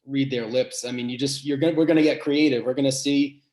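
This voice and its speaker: A man with a big voice who is a head basketball coach, answering podcast questions earnestly and thinking aloud with pauses and restarts. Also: medium pitch, fairly even intonation, darkish tone.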